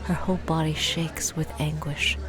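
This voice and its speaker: A woman's voice: low-pitched, level tone, normal speed, low-quality recording. A woman reading scripted dramatic narration as the narrator of an audio drama. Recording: music behind the voice.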